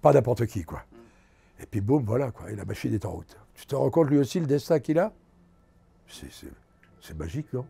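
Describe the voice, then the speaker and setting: A gravelly, husky older man with a fairly high voice swings his pitch widely as he tells stories from his acting career. He acts out the voices of the people he talks about, shouting some lines and dropping to a murmur on others, in a relaxed conversational interview. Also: moderate pace, long pauses. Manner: animated anecdotes, acts out quotes. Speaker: actor interviewee. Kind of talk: casual storytelling interview.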